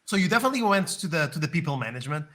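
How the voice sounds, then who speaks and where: Bright-voiced male co-host. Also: fairly high pitch, very animated, racing. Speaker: podcast co-host. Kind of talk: casual interview chat.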